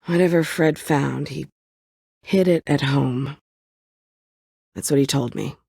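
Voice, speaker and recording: Weary bitter survivor lady for a video game, slow n husky, curt, dry sarcasm. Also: extremely low pitch, dark tone, no echo, full character voice. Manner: brooding, curt, dryly sardonic. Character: bitter, world-weary woman survivor seeking vengeance. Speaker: voice actress in a story video game. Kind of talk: dramatic video game voice acting.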